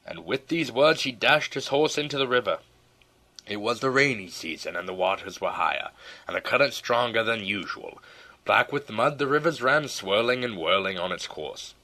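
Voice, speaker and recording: A man reading a historical tale as an audiobook narrator, in a theatrical, solemn storytelling manner with deliberate phrasing. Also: mid-range voice, animated, pushed and bright.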